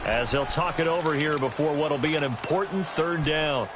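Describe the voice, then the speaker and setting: A man's voice: a little high, normal speed, noisy background, natural voice. A man with an American accent doing play-by-play commentary as a football announcer in a video game. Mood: measured, focused.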